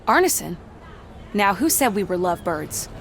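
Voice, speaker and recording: A flirtatious, self-assured woman for a mystery game. Her middle-pitched voice lilts widely and familiarly. Faint outdoor noise sits behind her. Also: very animated, moderate pace, creaky, some chatter behind. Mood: guarded. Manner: teasing, familiar, folksy. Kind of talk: dramatic video game dialogue.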